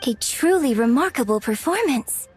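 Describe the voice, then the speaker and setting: Poised, high-voiced young opera director. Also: female voice, expressive, moderate pace, faint music behind. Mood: admiring. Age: young adult. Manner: courteous, formal, refined. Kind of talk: video game voice acting.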